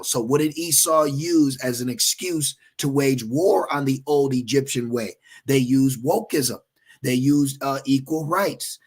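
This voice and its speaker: A man's voice: mid-range voice, husky. A man preaching in an emphatic, repetitive way, with frequent fillers and checks like "okay?". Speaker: religious teacher. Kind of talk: preaching commentary.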